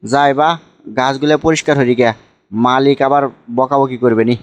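A man's voice: medium pitch, steady tone, moderate pace, lo-fi sound, natural voice. A man voicing a hardworking, lonely cartoon son, pleading and wounded, in a plaintive Bogura dialect of northern Bangladesh. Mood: weary. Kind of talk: amateur comedy cartoon dubbing. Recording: music behind the voice.

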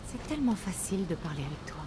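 Tender young huntress, over music. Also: female voice, fairly low pitch, very animated, quick pace. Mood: warm, teasing. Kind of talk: dramatic game dialogue. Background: background music.

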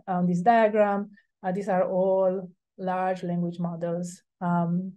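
A middle-aged woman from Romania, giving an academic webinar talk over a video call in an earnest, measured way with hesitant pauses. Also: mid-range voice, dark tone. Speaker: invited speaker.

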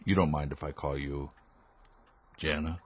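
Very deep, grave inventor father. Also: fairly even intonation, unhurried. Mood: courteous, subdued. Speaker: actor in radio drama. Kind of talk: radio drama acting.

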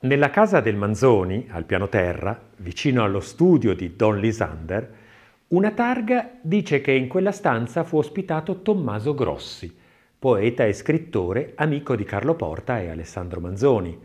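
A man reading scripted literary narration as an audiobook narrator, with measured, theatrical phrasing. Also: medium pitch, sing-song, a little mellow.

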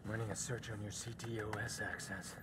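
An actor playing a grim, guarded vigilante hacker in a video game, gravelly and terse. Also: male voice, level tone, fast, background noise, lightly acted. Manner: terse, low-key. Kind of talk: cinematic video game acting.

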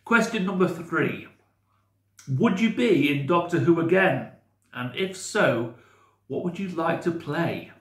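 A man's voice: a little high, lively intonation, slow. A man in his forties hosting an interview, reading out scripted questions from a desk at home. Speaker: interviewer host. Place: home room with Christmas decorations. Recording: echoey room.

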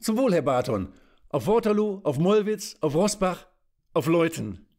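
A man's voice: on the high side, sing-song, measured pace, darkish tone. Man playing an affected, effeminate aristocratic count. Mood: martial, solemn. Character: effeminate, war-obsessed Prussian count, doting on tin soldiers. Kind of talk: German audio drama acting.

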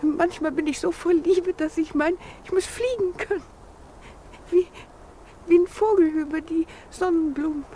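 Shy elderly spinster, high voice, childlike, hesitant, dreamy, 1950s radio play, slight noise. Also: female voice, dark tone. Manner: hesitant, naive, halting.

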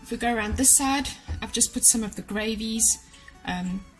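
A woman's voice: a little high, moderate pace, soft background music, rough recording. A woman in her thirties talking casually to camera in her home kitchen, giving practical cleaning and organizing tips to viewers. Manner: chatty and practical, gives tips directly to viewers. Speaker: cleaning vlogger. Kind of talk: casual how-to narration to camera.

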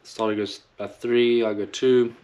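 A man in his thirties from South Africa with a softened South African accent, filming a casual video diary on a phone held at arm's length. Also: mid-range voice, moderate pace. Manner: relaxed, matter-of-fact self-filming. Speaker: professional baseball pitcher vlogging. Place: hotel room and city street. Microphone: phone camera at arm's length.

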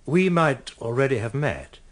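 An actor in a radio drama playing a mild, concerned gentleman, polite, tentative and diplomatic. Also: mid-range voice, sing-song, unhurried.

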